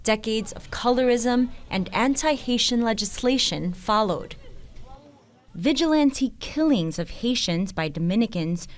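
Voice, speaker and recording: A woman's voice: on the high side, lively intonation, unhurried. A woman reading scripted news documentary narration in the manner of a reporter.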